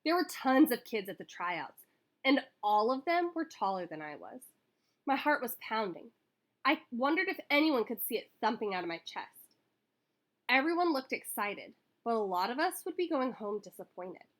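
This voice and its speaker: Lively woman reading a children's story aloud. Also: on the high side, normal speed. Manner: animated, acts out dialogue. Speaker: school staff member reading aloud. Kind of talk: expressive children's picture book read-aloud.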